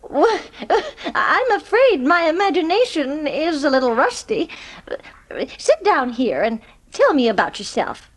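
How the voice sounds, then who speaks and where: Crusty elderly lady for audiobook drama, stiff and haughty, with lively intonation. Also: sits high, a little slow. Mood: stiff, then amused. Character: crusty, wealthy old lady, gruff but softening.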